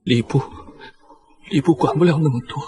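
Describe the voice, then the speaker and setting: A young man playing an earnest, easily flustered noble heir, hesitant and insecure, in an animated costume drama. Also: a little high, moderate pace, faint music behind, lightly acted. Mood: dejected, confiding.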